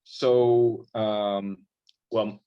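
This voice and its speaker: A filmmaker from Ireland with an American-sounding accent, chatting casually in an interview over a video call, rambling with lots of restarts. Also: male voice, on the low side, flat delivery, very slow, clean tone, lo-fi sound. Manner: rambling, frequent restarts and fillers, self-deprecating.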